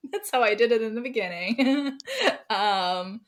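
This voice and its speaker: A woman's voice: fairly high pitch, takes it slowly. German woman chatting on a podcast. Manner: chatty, many fillers and laughs. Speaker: guest writer. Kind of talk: casual podcast chat.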